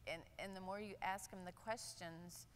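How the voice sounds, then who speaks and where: An older woman, a nurse speaking on a conference panel, candid and animated, with many fillers. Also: medium pitch, normal speed, long reverb tail, a little hiss or hum. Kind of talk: panel discussion. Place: large conference hall stage. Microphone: lapel.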